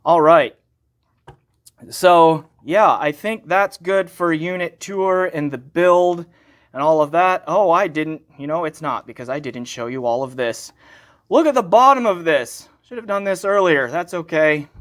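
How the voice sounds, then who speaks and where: A man's voice: on the high side, lively intonation, measured pace, a bit bright. Casual man talking through a product demonstration. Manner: conversational, self-correcting, thinking aloud. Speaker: gear reviewer and channel host.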